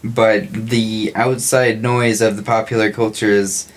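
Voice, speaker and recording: A man's voice: lowish voice, flat delivery, measured pace, soft background music. An American man in his late twenties co-hosting a podcast in casual discussion. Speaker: podcast co-host.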